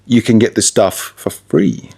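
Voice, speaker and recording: Low-voiced middle-aged Australian man chatting. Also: measured pace, a little mellow, breathy edge. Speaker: radio presenter and DJ being interviewed. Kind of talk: casual conversational interview.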